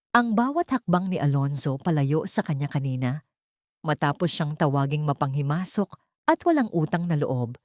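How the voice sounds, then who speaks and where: Low-voiced woman narrating dramatic fiction. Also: a little mellow, no echo, studio quality. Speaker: storyteller. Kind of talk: scripted dramatic audiobook narration.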